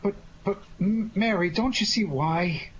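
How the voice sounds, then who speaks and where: A man's voice: high voice, measured pace, lo-fi sound, a little hiss or hum. A man playing a glib, wisecracking amateur sleuth in a 1940s-style radio detective drama, placating the others. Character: wisecracking, fast-thinking male amateur sleuth.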